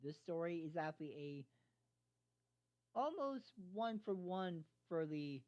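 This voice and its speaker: An amateur reader narrating a fan fiction story aloud in a halting way, stumbling over words and repeating phrases. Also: mid-range voice, big pitch swings, a little mellow. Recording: dull lo-fi microphone sound.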